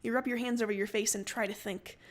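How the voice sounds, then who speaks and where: A woman's voice: fairly high pitch, fairly even intonation, fast. A woman narrating a story dramatically in the second person, as a co-reader on a livestream.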